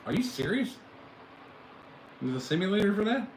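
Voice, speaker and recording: A man's voice: high-pitched, big pitch swings, normal speed. Middle-aged male streamer, casual and chatty, amused at chat; roomy echo, faint hum behind. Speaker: livestream host. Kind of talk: casual live chat with viewers. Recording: echoey room, faint background noise.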